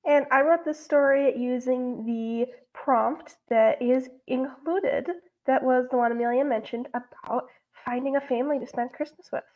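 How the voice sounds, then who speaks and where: A woman's voice: high voice, steady tone, moderate pace. A young woman guest reading her own short story aloud over a dull-sounding video call. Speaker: guest art instructor and author.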